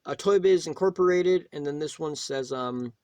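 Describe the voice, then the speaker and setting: A man hosting a casual, unscripted toy review, talking in a rambling, halting way and often rephrasing himself. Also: a little high, a little mellow, no room sound. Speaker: toy reviewer, video host.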